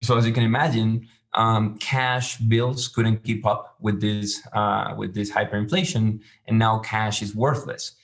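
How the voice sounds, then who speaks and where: Guy on a podcast, lowish flat voice, explains things slowly and carefully. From Venezuela. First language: Spanish. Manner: measured, explanatory, hesitant. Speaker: podcast guest, startup founder. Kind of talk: interview answers.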